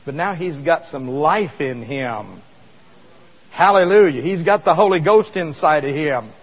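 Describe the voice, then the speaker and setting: A man's voice: a little high, expressive, measured pace, husky, big-room sound, lo-fi sound. A man preaching a sermon in a conversational way, asking the congregation questions as he explains scripture. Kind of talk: sermon with live demonstration.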